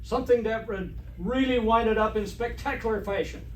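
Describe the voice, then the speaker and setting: An actor playing a pompous, vain, bossy middle-aged principal in old-time radio comedy, formal and blustery. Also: male voice, sits high, fairly quick, far from the mic, close to an everyday voice. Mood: self-satisfied. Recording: roomy stage recording from afar, hiss.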